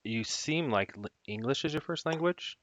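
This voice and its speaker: A man's voice: medium pitch, lively intonation, moderate pace, a bit bright. A man chatting casually with another player over a voice call, easygoing and telling stories about past games. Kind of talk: casual gaming chat. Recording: dull, dry voice-call audio.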